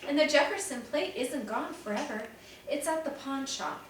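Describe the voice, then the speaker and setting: A woman's voice: sits high, lively intonation, normal speed, slight background noise, voice pushed up. A woman reading the part of a troubled young male student who admits a wrong. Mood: remorseful. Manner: subdued and apologetic. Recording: very echoey room, distant mic.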